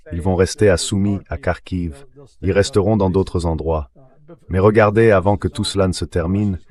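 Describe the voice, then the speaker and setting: A man giving a translated voice-over of political commentary in a measured, declarative way. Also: low-pitched, a little mellow. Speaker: translation voice for speech and guest analysis.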